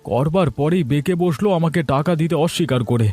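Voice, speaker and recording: A man's voice: fairly high pitch, fast talker, dark tone, soft background music, close to an everyday voice. A man voicing a poor, aggrieved village father and labourer in a cartoon story. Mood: weary, aggrieved.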